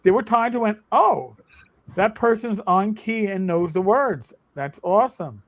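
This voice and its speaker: High-voiced man chatting casually. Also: expressive, measured pace, a bit bright. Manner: rambling, frequent restarts and laughs. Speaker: game master and channel host. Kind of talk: casual podcast chat.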